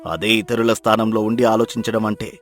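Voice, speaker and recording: An actor playing a kindly old blind village mentor who speaks in a measured, fatherly, moralizing way in a dramatized story. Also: fairly low pitch, fairly even intonation, faint music behind, close to an everyday voice. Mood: calm, wise. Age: older.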